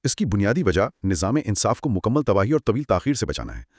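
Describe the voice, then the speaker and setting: A man's voice: lowish voice, big pitch swings, fast, darkish tone, breathy edge, dry recording, clean studio sound. A man co-hosting a conversational legal explainer, teaching through examples and rhetorical questions. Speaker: co-host explaining law.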